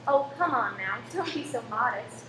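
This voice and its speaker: A woman acting a brisk, kindly cinema owner's wife in an amateur radio play, firm and composed. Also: fairly high pitch, expressive, lightly acted. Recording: echoey room with faint noise.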